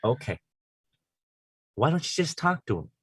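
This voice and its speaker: A man playing a laid-back, patient co-op baker with a dry, deadpan manner in a comedy table read. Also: mid-range voice, normal speed, breathy edge, no room sound. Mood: deadpan curiosity.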